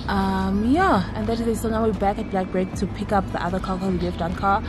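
A woman's voice: medium pitch, fairly quick. A South African woman in her thirties chatting casually into a handheld camera at an outdoor cafe, laughing and teasing. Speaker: vlogger. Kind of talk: casual vlog chat. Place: outdoor cafe terrace and inside a car. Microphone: camera held at arm's length.

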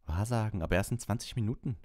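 A man's voice: on the low side, fast talker, a little mellow, voice pushed up, natural voice. A man voicing a puzzled schoolboy in a storybook, matter-of-fact and questioning.

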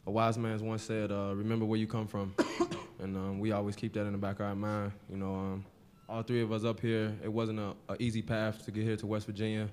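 A young male college basketball player answering postgame questions at a table mic in a press room, soft-spoken with many fillers. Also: fairly low pitch, level tone, a little fast, few pauses, clear, resonant tone. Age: young adult.

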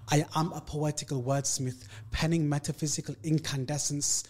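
A man's voice: on the high side, steady tone, normal speed, a little mellow. A man from Leicester, England, a guest poet on a radio show, talking about poetry and reciting spoken-word verse in a rhythmic, emphatic way, recorded in a radio studio. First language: not Urdu.

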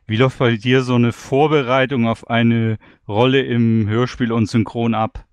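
A man's voice: mid-range voice, level tone, moderate pace, clear, resonant tone, no echo. A man hosting a casual podcast interview in conversational speech. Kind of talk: casual talk show chat.

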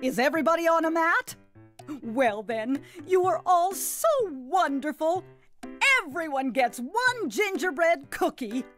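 A woman playing a jolly, doting grandmother who hosts an animated children's game in a gushing, sing-song way. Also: sits high, measured pace, soft background music. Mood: excited, congratulating.

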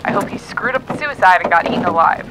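A woman's voice: on the low side, lively intonation, quick pace, lightly acted. A woman playing a jaded, scornful news presenter in an audio drama, blunt and contemptuous. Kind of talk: scripted news reading turning to editorial in audio drama. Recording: very noisy background with clicks.

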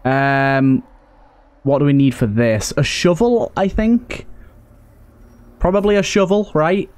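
A man's voice: on the high side, slow, darkish tone. A man giving casual let's play commentary as a gaming host, thinking aloud and often repeating his phrases. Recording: clear background game ambience. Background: video game ambience and effects.

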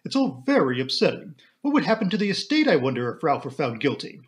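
A man's voice: on the high side, big pitch swings, fast. A man voicing a fretful, self-pitying middle-aged society mother in an audiobook, genteel, plaintive and rambling. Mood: tearful fretting.

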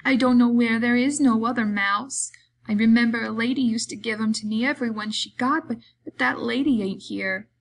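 Woman as a big simple-minded man, childlike, meek, innocent, raised pitch, halting folksy speech, audiobook character. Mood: sad, apologetic.

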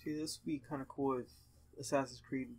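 A man chatting casually over gameplay in a low-key, somewhat hesitant way, as a co-host watching his friend play. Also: fairly even intonation, brisk.

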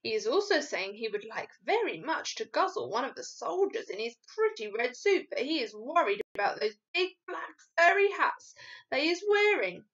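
A woman playing a muddled, gentle storybook giant, dull recording. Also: sits high, very animated, moderate pace, full character voice. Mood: doubtful, explaining. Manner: rustic muddled grammar, emphatic. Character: gentle sad giant with muddled homespun speech, stubborn, kindly.

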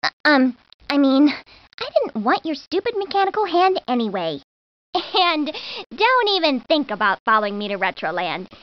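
A woman voicing a bossy, proud, prickly schoolgirl in a game, talking in a haughty, snippy, defiant way. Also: high voice. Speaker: voice actress. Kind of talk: video game voice clips. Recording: dull lo-fi game audio.

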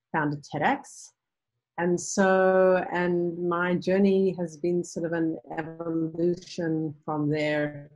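Low husky woman. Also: darkish tone, lo-fi sound. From South Africa. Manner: thoughtful, frequent ums and restarts. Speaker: guest, nonprofit founder. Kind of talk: video call interview. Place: home room with bookshelves. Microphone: video call.

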